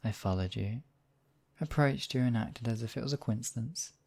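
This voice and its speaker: A man's voice: medium pitch, moderate pace, gravelly, a little mellow. A man playing a smug, obsessive demon king in a theatrical audio roleplay, silky and possessive in his wooing. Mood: wistful, wooing. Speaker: voice actor. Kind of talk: theatrical roleplay monologue, ASMR audio drama.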